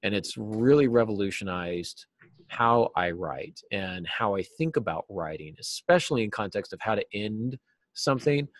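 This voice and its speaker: Low-voiced American man, dry close sound. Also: expressive, moderate pace, no echo. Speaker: interview guest, novelist and writing teacher. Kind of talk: casual interview answers. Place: small cluttered office, on a video call. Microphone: video call.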